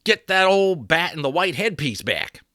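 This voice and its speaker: A man voicing a rough, excitable grown cowboy who talks in folksy backcountry grammar. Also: on the high side, normal speed, no room sound, clean studio sound, voice pushed up. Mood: gruff.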